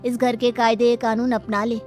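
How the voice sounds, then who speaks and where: A woman's voice: fairly high pitch, little pitch movement, moderate pace, darkish tone, soft background music, lightly acted. A woman voicing a resigned, submissive elder woman of the household who cautions, in a cartoon moral story. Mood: resigned advice.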